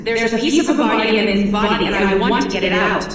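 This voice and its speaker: Actress as an imperious boss in heavy echo. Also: female voice, mid-range voice, fast talker, close to an everyday voice. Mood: cold, contemptuous. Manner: curt, commanding. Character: imperious, impatient female boss, cold and contemptuous. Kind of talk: video game cutscene acting. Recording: distant, very echoey, faint music.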